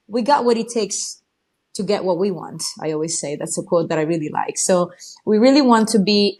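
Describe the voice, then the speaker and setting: An eager woman with an Italian accent, talking as a podcast guest. She chats in a loose, excited way, full of fillers and restarts. She is a native Italian speaker. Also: medium pitch. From Italy. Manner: enthusiastic, many fillers and restarts. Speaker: guest, horse trainer. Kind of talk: casual interview talk.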